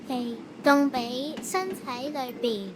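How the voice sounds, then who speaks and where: A woman's voice: sits high, lively intonation, takes it slowly, noisy background. A woman with a Hong Kong Cantonese accent narrating a manga story expressively, like a storybook reader.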